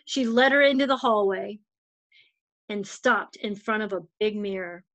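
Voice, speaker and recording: A woman's voice: mid-range voice, unhurried, a bit bright, dry recording. A woman narrating a children's storybook as a volunteer storytime reader.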